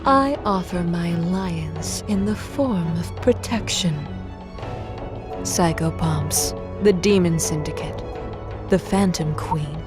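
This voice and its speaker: A woman playing a mysterious, calmly commanding goddess, measured and formal, in an audio drama. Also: on the low side. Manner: measured, formal, self-assured. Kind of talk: audio drama character acting. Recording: music under the voice.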